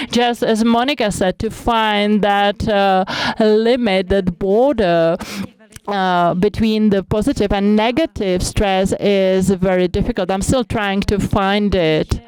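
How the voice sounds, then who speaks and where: Clear-voiced woman interpreting at a congress. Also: medium pitch, low-quality recording. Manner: measured, hesitant with many fillers. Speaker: conference interpreter.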